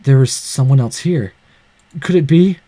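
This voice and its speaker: A man reading a visual novel aloud in an expressive way, with casual, sweary off-the-cuff commentary as a let's player. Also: mid-range voice, a little slow.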